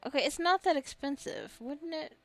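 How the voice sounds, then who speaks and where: A woman's voice: high voice, normal speed. A woman giving casual, rambling gameplay commentary as a gaming video host, chatting and thinking aloud to herself and her viewers.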